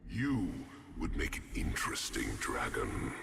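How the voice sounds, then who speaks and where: An actor playing an ancient, haughty star dragon in a video game, pompous, drawling and mocking, with theatrical grandeur. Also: male voice, a little slow, slight background noise, natural voice. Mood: sardonic. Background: loud music.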